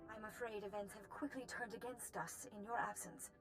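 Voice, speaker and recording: A woman's voice: mid-range voice, fairly even intonation, brisk, faint music behind, close to an everyday voice, poor audio. An actress voicing an earnest noblewoman in a video game, speaking formally and with urgency. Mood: earnest pleading. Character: earnest young noblewoman pleading for a champion.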